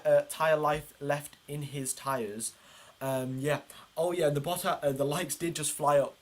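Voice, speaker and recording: Higher-voiced man giving informal race commentary. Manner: informal, chatty, explanatory. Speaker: livestream host and commentator. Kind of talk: live motor race watchalong commentary.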